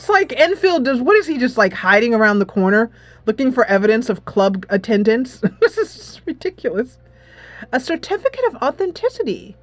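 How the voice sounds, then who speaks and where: A woman hosting a gaming channel, giving casual, expressive playthrough commentary with a giggly manner in a quiet room. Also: a little high, fairly quick, no echo. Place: quiet room, voice-over on gameplay. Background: soft game music.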